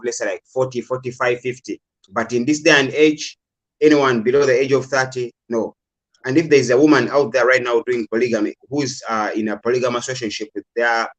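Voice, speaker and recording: A man's voice: medium pitch, poor audio. A man from Uganda calls in to talk things over. His talk is loose and casual. He leans on fillers and qualifiers as he works through his points. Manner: hedging, repeats "I would say" and "like". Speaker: caller. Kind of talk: casual call-in discussion.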